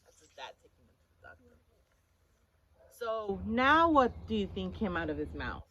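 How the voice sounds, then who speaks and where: A woman reading a picture book aloud to children in an expressive, emphatic storytelling style. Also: mid-range voice, normal speed, lo-fi sound, slight background noise. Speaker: story-time reader for children. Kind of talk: animated picture-book read-aloud with questions to listeners.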